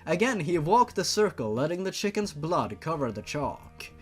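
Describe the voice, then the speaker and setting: A man reading a story aloud in a theatrical way, with drawn-out emphasis and sarcastic asides. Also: fairly high pitch, sing-song, soft background music. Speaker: host reading fan fiction aloud. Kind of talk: dramatic mocking story reading.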